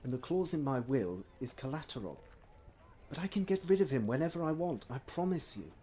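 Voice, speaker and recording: An actor playing an overworked, secretive Victorian gentleman doctor, weary and guarded, in a stage drama. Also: medium pitch, sing-song, a little fast, breathy edge. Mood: apologetic. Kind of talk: period stage drama acting.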